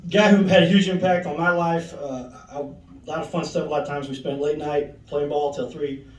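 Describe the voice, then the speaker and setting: A man's voice: on the high side, steady tone, normal speed. A man hosting an induction ceremony, rambling and emotional, with laughing asides. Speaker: master of ceremonies. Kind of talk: speaking at a banquet podium. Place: large echoey banquet hall. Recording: roomy hall, voice from far off.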